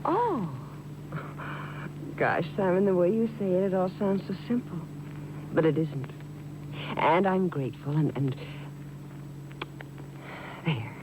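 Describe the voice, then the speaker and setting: Lively, wondering 1950s radio heroine. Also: female voice, lowish voice, slow, long pauses. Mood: admiring. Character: eager, admiring young woman, detective's romantic companion. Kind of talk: 1950s radio drama acting. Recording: noisy 1950s radio show on cassette.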